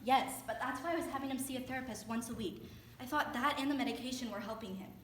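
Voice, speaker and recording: A woman's voice: a little high, quick pace, fairly bright tone, close to an everyday voice. A young woman playing a grieving, guilt-ridden mother in a staged dramatic monologue. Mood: pained, defensive. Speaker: student performer in readers theater. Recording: distant echoey stage recording, faint noise.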